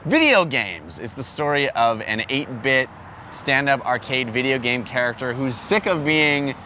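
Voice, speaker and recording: A man's voice: mid-range voice, expressive, measured pace, pushed and bright. An American man reviewing a movie as a show host in casual, unscripted chat, recorded with outdoor street noise behind him.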